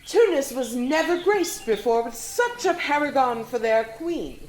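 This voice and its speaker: A woman's voice: sits high, animated, a little slow, darkish tone, low-quality recording. A woman playing a gracious, hopeful noblewoman in a Shakespearean audio drama, earnest and ornate. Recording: faint noise, remote home recording.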